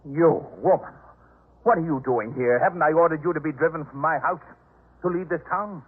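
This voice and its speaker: An actor playing a proud, disdainful householder in a 1950s radio drama, commanding, clipped and pompous. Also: male voice, a little high, fairly quick, slightly rough. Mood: indignant. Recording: muffled phone-like 1950s radio recording.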